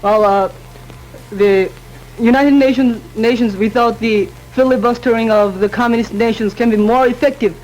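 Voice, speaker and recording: A man's voice: extremely high pitch, steady tone, moderate pace, soft background music, low-quality recording. A teenage boy, a student debater in a panel debate who speaks hesitantly, with many fillers and restarts. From Korea. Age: teens.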